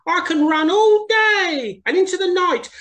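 A woman's voice: lively intonation, a little slow, fairly bright tone, exaggerated voice. A woman voicing a proud, boastful young female hare in a storybook reading. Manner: high squealing exclamations.

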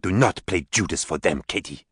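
A man reading an audiobook voices a proud imprisoned mother who speaks in formal, measured sentences. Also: sits low, a bit bright, slightly rough, no room sound, studio quality. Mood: defiant. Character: proud imprisoned mother on trial, older woman, righteous and unbending.

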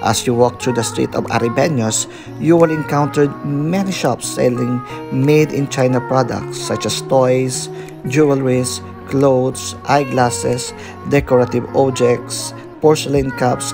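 A man's voice: medium pitch, fairly even intonation, unhurried, vocal fry, over music. A man narrating a travel guide as a vlogger, presenting sights to visitors.

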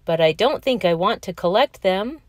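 A woman's voice: mid-range voice, lively intonation, normal speed, no room sound, natural voice. A woman voicing an earnest, stubborn, dreamy young male crocodile from a children's storybook, with emphatic delivery.

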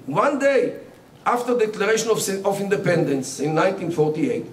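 A man's voice: high voice, big pitch swings, a little hiss or hum. An older man whose first language is Yiddish, giving a public lecture at a podium with many fillers and restarts. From Israel. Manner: halting, many fillers and restarts, gestures a lot. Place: lecture hall. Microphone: podium mic. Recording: roomy hall sound from some distance.

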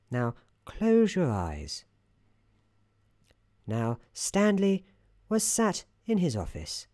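A performer voicing a genial, theatrical narrator in a soft-spoken ASMR roleplay, speaking gently and formally with deliberate pauses. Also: medium pitch, dry recording, clean studio sound, heavily acted. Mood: bright, coaxing. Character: genial, theatrical storybook narrator guiding someone to relax.

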